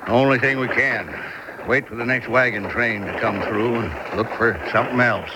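A man's voice: medium pitch, moderate pace, natural voice. Noisy radio recording of a Western drama. A man acts out a cocky frontier husband with a folksy, rustic drawl of words and a schemer's swagger, in a mid-range voice. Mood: dejected. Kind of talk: old-fashioned Western radio drama acting.

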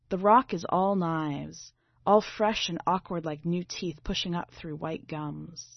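A woman reading a literary short story aloud in a measured, dramatic way. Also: lowish voice. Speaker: story reader. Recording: slightly dull, boxy roomy recording.

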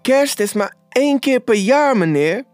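A man doing a stammering, meek clerk, high-pitched. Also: animated, measured pace, a little mellow, dry recording, faint music behind. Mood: pleading. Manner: stammering, deferential. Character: meek, nervous male clerk, apologetic and pleading.

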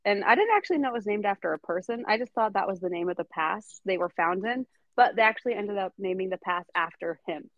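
A woman's voice: mid-range voice, expressive, fast talker, dry recording, muffled recording. A woman hosting a podcast, telling a story in casual chat, giggling often and full of fillers. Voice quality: giggly, chatty, filler-heavy. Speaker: podcast host.

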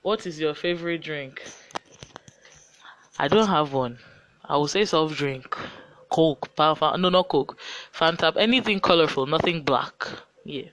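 A man's voice: on the high side, measured pace, long pauses, a bit bright, no echo, rough recording. A teenage boy with a Nigerian accent, chatting casually through get-to-know-me questions on his own podcast, sounding tired. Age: teens. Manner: chatty, rambling, self-mocking asides. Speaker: podcast host.

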